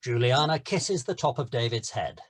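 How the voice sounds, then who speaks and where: Male narrator, screenplay stage directions, lively intonation, husky, medium pitch. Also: moderate pace.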